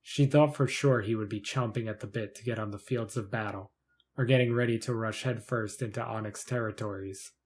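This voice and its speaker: A man's voice: lowish voice, a little mellow. A man reading scripted narration for a fantasy short story in the third person.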